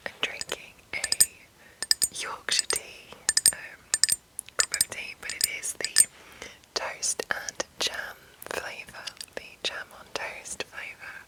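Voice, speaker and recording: A woman hosting whispered ASMR, speaking in a soothing, breathy whisper, with a sore, breaking throat from being under the weather. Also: rough recording. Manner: slow, soothing, deliberate delivery. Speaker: ASMR channel host. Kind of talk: whispered ASMR, reading and commenting.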